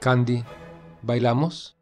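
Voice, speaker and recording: A man's voice: medium pitch, fairly even intonation, slow, close to an everyday voice. A man playing a commanding, gallant young family patriarch in an audio story. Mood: composed, gallant. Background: classical music.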